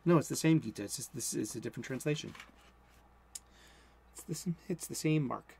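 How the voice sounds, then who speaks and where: A man's voice: mid-range voice, a little fast, gravelly, husky. A man in his forties with a Boston accent, giving a casual spoken sermon from a cluttered home room, rambling and interrupting himself as he talks. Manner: rambling, self-interrupting, mumbles and speeds up when excited. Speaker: host.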